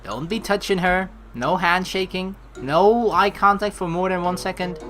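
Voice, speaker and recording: A woman narrating a game playthrough, with animated, jokey asides and some swearing. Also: fairly low pitch, normal speed. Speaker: let's play streamer. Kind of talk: playthrough narration with casual reactions. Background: background game music.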